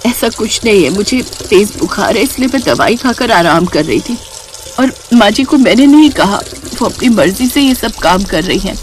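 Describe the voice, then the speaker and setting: A woman voicing a gentle, dutiful young daughter-in-law in a family story, patient and softly reasoning, with self-respect. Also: mid-range voice, expressive, moderate pace, slight background noise, close to an everyday voice. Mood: weary, apologetic.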